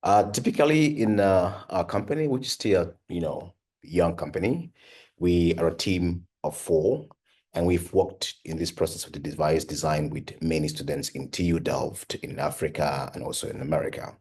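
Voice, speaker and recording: A very deep, husky male voice from a young man born in Cameroon. He talks earnestly and conversationally, dropping frequent "you know" and "uh" fillers. His voice stays low, with a rough, breathy edge. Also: normal speed, a little mellow. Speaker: medical device company founder and PhD researcher. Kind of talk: personal talk for an online course.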